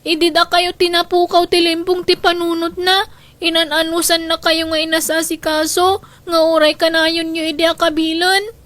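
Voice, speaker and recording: A woman's voice: extremely high pitch, flat delivery, normal speed, a bit bright, no echo, faint music behind. A woman playing a caring, protective young granddaughter in a radio drama, earnest and pleading. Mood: earnest reproach. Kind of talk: Ilocano radio drama acting.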